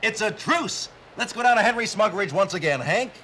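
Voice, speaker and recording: A man playing an excitable TV sportscaster who gives brash, booming play-by-play. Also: moderate pace, a little hiss or hum. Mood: excited. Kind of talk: mock sports commentary.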